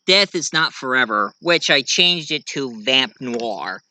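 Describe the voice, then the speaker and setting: An American man giving a casual show-and-tell monologue, rambling and halting as he corrects himself along the way. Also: mid-range voice, expressive, pushed and bright, dry recording. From United States. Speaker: amateur reviewer and story writer presenting own work.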